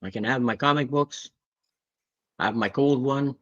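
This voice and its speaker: A man's voice: mid-range voice, moderate pace. Man, 60s, rambling storyteller, casual swearing, muffled lo-fi call. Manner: rambling, swears casually, acts out quoted voices. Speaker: guest on comic livestream.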